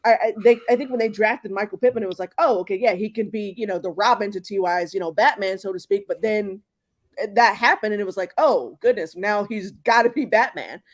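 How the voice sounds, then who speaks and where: A woman's voice: medium pitch, fairly quick. A woman from Houston hosting a sports podcast, chatting casually with lots of fillers and restarts. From Houston, Texas. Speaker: podcast host.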